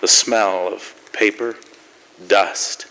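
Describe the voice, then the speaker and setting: A man's voice: lowish voice, steady tone, extremely slow, pushed and bright, heavily acted. A man playing a brooding hardboiled narrator in a noir radio drama, recorded with long reverb. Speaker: opening narrator.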